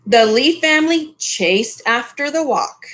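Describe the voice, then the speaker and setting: A middle-aged woman reads a children's story aloud. Her voice sits a little high and her pace is slow. She pauses for casual comments to young listeners. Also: animated, fairly bright tone. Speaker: storyteller reading a picture book aloud. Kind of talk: expressive children's read-aloud with casual asides. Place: small furnished room at home, indoors. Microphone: webcam at desk distance.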